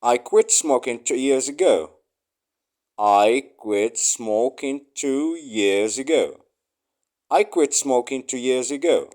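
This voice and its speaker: A man reading short English phrases aloud for learners, saying each one three times slowly and clearly. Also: medium pitch, expressive, a little mellow.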